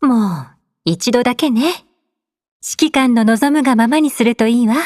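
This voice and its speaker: A woman playing a gentle, indulgent female companion in a game, with a soft, coaxing delivery. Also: fairly high pitch, a little fast, natural voice. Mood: gently indulgent. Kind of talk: scripted game character voice lines.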